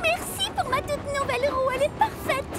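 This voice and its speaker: Casting: sensible lady train carriage, extremely high expressive cartoon voice, music behind. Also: brisk, over a noisy crowd. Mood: delighted. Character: gentle, sensible female railway carriage. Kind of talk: animated children's series dub.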